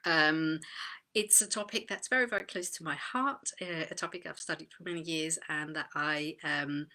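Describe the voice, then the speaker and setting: A woman from Denmark speaks in a relaxed, chatty way on a live video stream, full of fillers and small restarts. Her voice sits fairly low but sounds bright and crisp. She sounds a little tired, talking from a small, dim home office. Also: moderate pace. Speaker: host of an online community talk. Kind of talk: live video talk to a group.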